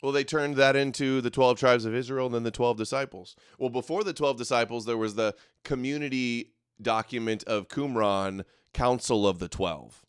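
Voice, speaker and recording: A man hosts an online show with casual, sarcastic commentary on clips. His voice is medium in pitch and cleanly resonant. The recording is dry and close, with no room sound, and his delivery is jeering and offhand. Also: fairly even intonation, moderate pace, hardly any pauses, smooth, no echo. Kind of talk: mocking running commentary on clips.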